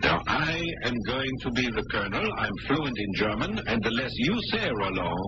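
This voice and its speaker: An actor playing a resourceful, quick-witted French pilot prisoner with a confident, take-charge manner in old-time radio drama. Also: male voice, medium pitch, big pitch swings, natural voice. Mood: brisk, commanding. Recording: noisy muffled old radio recording.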